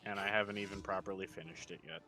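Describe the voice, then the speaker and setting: A man's voice: low voice, fairly even intonation, fast, music in the background, low-quality recording. A man streaming a video game with casual, hesitant chat.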